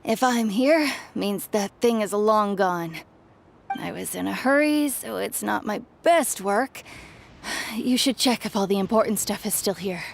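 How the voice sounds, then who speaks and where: A woman's voice: medium pitch, a little slow, vocal fry. A woman playing a stubborn, proud, teasing young woman who is wounded, in an anime-style video game. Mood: weary, defiant.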